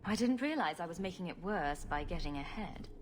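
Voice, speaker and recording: An actress playing a wry, sarcastic adventurer heroine with a dry, joking manner in a fantasy game. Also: female voice, fairly low pitch, animated, a little fast, slight background noise, natural voice. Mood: dry, amused.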